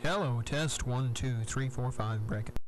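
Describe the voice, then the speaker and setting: A man's voice: medium pitch, a little slow. A man acting as net controller on a CB radio, switching between check-in calls and casual chat. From Arkansas, United States. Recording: noisy CB radio, loud hum.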